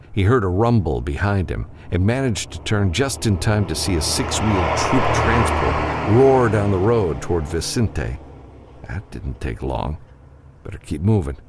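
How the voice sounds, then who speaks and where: Audiobook narrator: man with a deep, animated delivery for dramatic action fiction. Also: a little hiss or hum. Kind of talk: scripted dramatic narration of an adventure novel.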